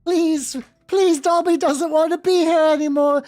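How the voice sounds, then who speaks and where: A woman's voice: extremely high pitch, steady tone, unhurried, darkish tone, dry recording, studio quality, voice pushed up. A woman voicing a meek, miserable male house elf who pleads and refers to himself in the third person, in a comic fanfiction reading. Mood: pleading, distressed.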